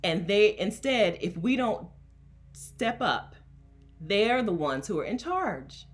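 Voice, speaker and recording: A woman's voice: mid-range voice, very animated, slow pace, soft background music. A woman from Delaware, a coach hosting a casual livestream and talking to her chat in an emphatic, chatty way, laughing often. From Delaware, USA. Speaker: coach and host.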